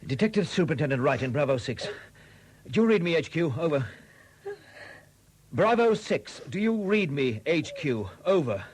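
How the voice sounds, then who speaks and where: An actor playing a shrewd, relentless police superintendent in a 1970s radio drama, questioning in a deliberate, courteous but insistent way. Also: male voice, fairly high pitch, sing-song, slight background noise. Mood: brisk, official. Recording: dated 1970s radio recording.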